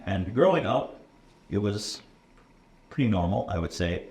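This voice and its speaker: A man's voice: on the low side, lively intonation, measured pace, darkish tone. An American man in his thirties, a computer science instructor, talking casually in an interview with many ums and uhs and frequent "okay?" check-ins. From USA. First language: English. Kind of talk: relaxed conversational interview. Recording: roomy echo, faint room noise.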